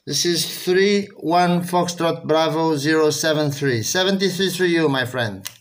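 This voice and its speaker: A man's voice: on the high side, a little slow, background noise. A man from Portugal with a Portuguese accent, speaking as an amateur radio operator making contact calls.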